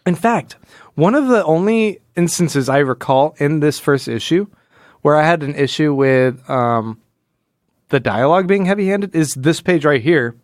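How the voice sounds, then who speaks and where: A man's voice: fairly high pitch, lively intonation, a little slow, no echo, clean studio sound. A man hosting a podcast, chatting casually and analytically, acting out quoted lines as he retells. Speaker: podcast host. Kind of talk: casual comic book analysis chat.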